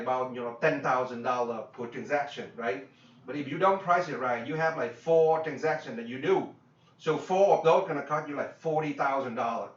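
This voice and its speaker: Man from Vietnam, business coach, repetitive with fillers, distant dull roomy recording. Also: mid-range voice. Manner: repetitive, many fillers and tag questions, non-native grammar. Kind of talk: workshop talk. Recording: echoey room, distant dull mic.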